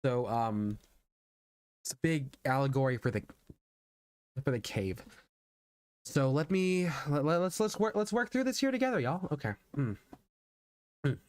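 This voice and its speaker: A man's voice: a little high, lively intonation, a little slow, long pauses. A man streaming a voice acting practice session, chatting informally and thinking aloud, often repeating his words. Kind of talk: casual livestream chat. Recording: soft background music behind voice. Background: background music.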